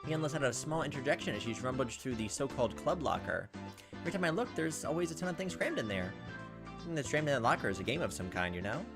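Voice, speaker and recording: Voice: mid-range voice, big pitch swings, quick pace, over music. A let's player reading a visual novel aloud and dropping in casual asides as commentary. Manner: reacts with asides to the story. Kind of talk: casual gameplay commentary mixed with narration read aloud.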